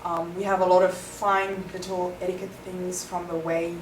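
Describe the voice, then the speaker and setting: South African woman with low voice, echoey. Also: flat delivery, moderate pace. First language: Afrikaans. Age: young adult. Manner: thoughtful, explanatory, with many ums. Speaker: interviewee, international student. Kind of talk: interview answers. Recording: echoey room, far from the mic, noisy.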